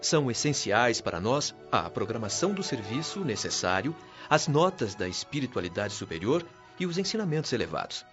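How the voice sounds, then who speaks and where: Man acting as an earnest, animated Brazilian spirit mentor. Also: mid-range voice, a little fast, few pauses, close to an everyday voice. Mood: instructive. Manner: didactic, earnest, formal. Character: wise, patient male spirit mentor of a heavenly colony. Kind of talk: radio drama acting. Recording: dull lo-fi audio with music underneath.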